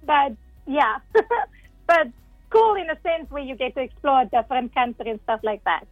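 Woman, 30s, open guest; high voice, dry phone line with faint music. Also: normal speed, no echo. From South Africa. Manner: open, laughs often, repeats words. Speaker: guest, nonprofit founder. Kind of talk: casual interview.

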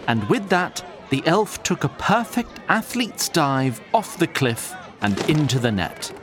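A man narrating a scripted fantasy tale in a theatrical, deliberate and wry manner. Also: on the high side, sing-song, music in the background.

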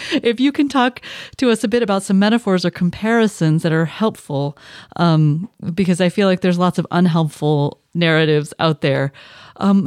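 A woman's voice: on the low side, a little mellow, dry recording, studio quality. A woman hosting a panel interview, reflective and casual, often checking in with "right?". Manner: reflective, uses tag questions "right?".

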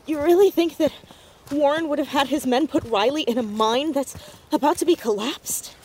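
A woman's voice: sits high, moderate pace, a little mellow, slight background noise, natural voice. An actress playing a determined, questioning American teenage girl investigator in an audio drama, earnest and direct. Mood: incredulous. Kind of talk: naturalistic audio drama acting.

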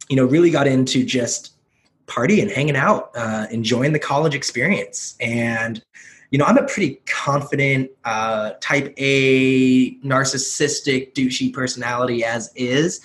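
A man in his thirties from the United States, a podcast guest telling his life story in a casual, energetic, self-deprecating way, swearing freely. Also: mid-range voice. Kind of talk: casual chat.

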